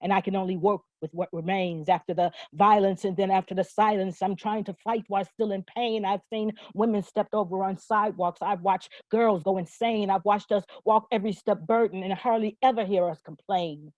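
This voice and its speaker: A woman's voice: mid-range voice, fairly even intonation, normal speed, hardly any pauses, darkish tone, no echo, dull audio. A woman performing spoken word poetry with rhythmic, rhyming phrasing and an emphatic, declarative manner. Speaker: poet.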